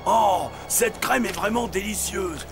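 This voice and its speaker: Sly high-pitched cartoon villain. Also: male voice, takes it slowly, vocal fry, full character voice. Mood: mocking, suspicious. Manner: sly, mocking. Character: suspicious, menacing male villain feigning politeness. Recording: loud music over dialogue.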